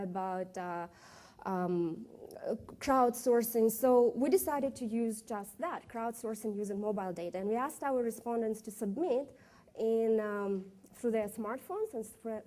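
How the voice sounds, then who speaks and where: A woman gives a conference presentation in a slightly high voice with a mellow, soft tone. She explains step by step, stumbling now and then on fillers and restarts. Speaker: conference speaker presenting market research.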